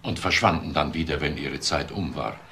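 A hesitant manservant in a crime radio drama. The voice is deep and crackles with fry, explaining deferentially over a faint bed of noise. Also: male voice, normal speed, bright, forceful tone, full character voice. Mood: hesitant, explanatory. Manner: hesitant, repeats words, explanatory. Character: male household servant and caretaker, deferential, hesitant.

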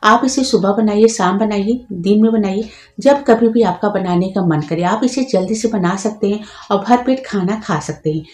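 A woman's voice: medium pitch, moderate pace, darkish tone, echoey room. A woman host giving patient, step-by-step instructions in a cooking tutorial voice-over, adding practical tips as she goes.

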